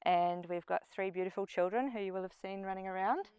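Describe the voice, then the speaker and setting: A woman's voice: medium pitch, brisk, a little mellow, smooth, dry recording, rough recording. A middle-aged woman with a New Zealand accent giving an informal short personal talk to a group. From New Zealand and Papua New Guinea. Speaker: speaker at a community event, farm sustainability coach.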